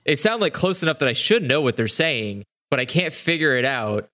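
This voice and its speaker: A man's voice: mid-range voice, big pitch swings, brisk, bright, forceful tone. Guy in a group chat, mid voice, jokey and overdramatic about stuff he hates. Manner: joking, exaggerated complaints.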